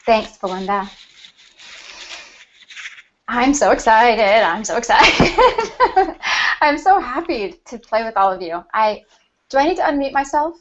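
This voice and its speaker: Excitable woman on a lo-fi video call. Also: a little high. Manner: excitable, laughing, quick bursts. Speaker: panel host. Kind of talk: casual panel chat on a video call. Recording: dull lo-fi video call audio.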